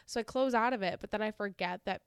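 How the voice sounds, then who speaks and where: A chatty woman co-hosting a podcast. Her voice is a bit nasal from a sinus infection, yet it stays very clear and smooth. The sound is dry and close, and she laughs and swears easily. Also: mid-range voice, quick pace, no echo. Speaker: podcast co-host. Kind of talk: casual podcast chat.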